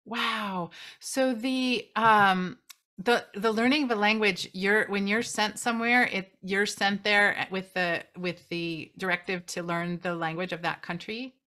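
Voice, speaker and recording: A woman's voice: mid-range voice, steady tone, unhurried, sharp tone. A woman interviewing a guest in a casual chat, enthusiastic and often restarting her sentences. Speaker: interviewer and company CEO.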